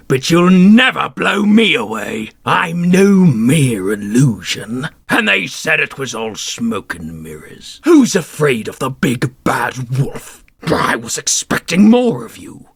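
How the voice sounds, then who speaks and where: Game wolf villain: taunting and theatrical, slow gravelly drawl that swings wildly in pitch. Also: male voice, very animated, fairly bright tone, no room sound, exaggerated voice. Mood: mocking menace. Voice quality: gravelly, husky. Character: menacing, mocking big bad wolf villain. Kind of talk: video game villain voice lines.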